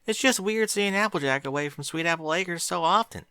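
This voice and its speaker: A man's voice: a little high, a little fast, pushed and bright, clean tone, no echo, clean studio sound, voice pushed up. A man voicing a spunky young filly pony girl in a fan fiction reading, with an eager, indignant way of talking. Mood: wistful.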